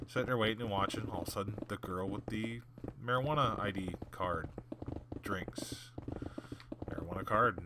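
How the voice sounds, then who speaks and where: A man's voice: lowish voice, noisy background. A young adult man hosting a gaming video, telling stories from work in a loose, rambling way with lots of fillers. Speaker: gaming channel host. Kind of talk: casual storytelling over gameplay. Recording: clear background clicking.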